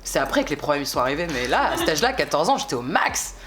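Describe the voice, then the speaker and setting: A young woman in her twenties from France, a singer chatting casually in an interview, relaxed and slangy, rambling with frequent little asides. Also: deep voice, fast, a crowd in the background. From France (Bordeaux).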